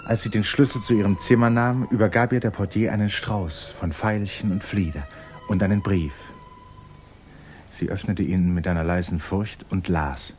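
A man narrating a literary 1950s radio drama in an expressive, dramatic storytelling manner. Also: lowish voice, moderate pace. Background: violin music.

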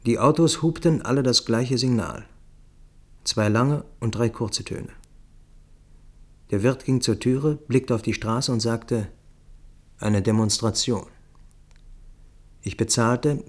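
A man's voice: medium pitch, a little slow, pauses often. A man reading scripted, literary first-person narration for a radio play.

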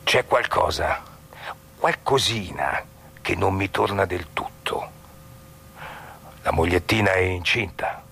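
An actor as a sardonic, sneering man in a stage drama, with a low, gravelly voice. He is blunt and mocking, taking his time over each ironic aside with slow, theatrical relish. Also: pushed and bright, a little hiss or hum, exaggerated voice. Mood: sardonic probing. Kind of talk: stage monologue acting.

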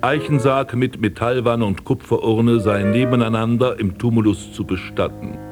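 An actor playing an embittered, melancholy aristocratic prince in a German radio drama, with theatrical, eloquent delivery. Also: male voice, medium pitch, steady tone, normal speed, music in the background, lightly acted. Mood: grimly formal. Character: embittered, melancholy aristocratic prince recalling his wasted life and burial.

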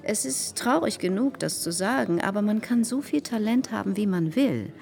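An actress playing a gossipy, self-important salon hostess in a radio drama, confiding and insistent in a theatrical way. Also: female voice, mid-range voice, expressive, moderate pace, vocal fry, a little mellow. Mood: insinuating, insistent.

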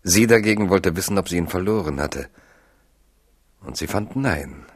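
Very deep-voiced solemn male narrator. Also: lively intonation, husky, dry recording, studio quality. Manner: measured, solemn. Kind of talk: literary scripted narration in a radio drama.

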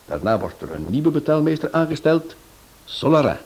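An actor playing a clever detective who lays out his reasoning in a measured way in a radio play. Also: a little high, sing-song, a little mellow, husky, full character voice. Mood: theorizing. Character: clever male detective, analytical. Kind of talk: vintage radio play acting. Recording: dull old recording.

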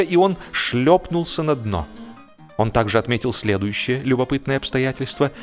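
Male audiobook narrator, mid-range voice, theatrical comic delivery with measured pauses, music underneath. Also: mid-range voice. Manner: expressive, theatrical, with deliberate drawn-out pauses. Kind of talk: dramatised humorous literary narration.

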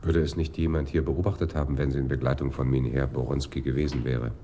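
An actor playing a businesslike police investigator in a German radio crime drama, formal and terse. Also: male voice, extremely low pitch, level tone, fast talker, warm tone, slight background noise. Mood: inquiring. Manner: formal, terse, matter-of-fact.

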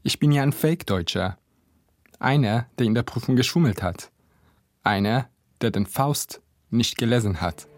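A man's voice: medium pitch, expressive, moderate pace, no echo. Dry, close-sounding male essay narrator from Israel. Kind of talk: scripted first-person essay narration. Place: dry studio.

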